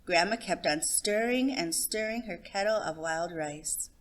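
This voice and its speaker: A woman's voice: medium pitch, expressive, measured pace, slight background noise. A woman storyteller giving a scripted narration of a children's story.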